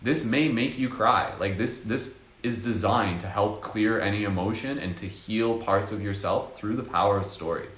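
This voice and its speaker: A man leading a slow, soft-spoken guided meditation with casual fillers. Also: fairly low pitch, echoey room.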